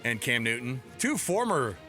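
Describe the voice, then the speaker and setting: A man's voice: medium pitch, sing-song, moderate pace, bright, forceful tone, faint music behind. An American man co-hosting a sports radio show, chatting casually and jokingly in colloquial style from a studio.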